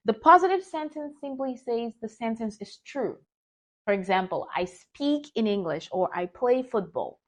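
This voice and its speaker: Higher-voiced woman teaching English slowly. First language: Yoruba. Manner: slow, careful, reads example sentences deliberately. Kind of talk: beginner grammar lesson.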